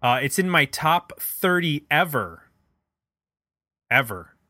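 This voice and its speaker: A man's voice: a little high, sing-song, slow pace, pushed and bright, dry recording, clean studio sound. A middle-aged man chatting casually as the host of a music podcast.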